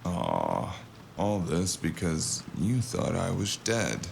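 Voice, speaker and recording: Man acting a slow, low, wounded sardonic investigator. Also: wildly swooping pitch, gravelly, a little mellow, a little hiss or hum, exaggerated voice. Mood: weary. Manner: dry, halting, wry. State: dazed, in pain. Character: drained, wounded, sardonic male investigator, stubborn and groggy. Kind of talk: audio drama acting.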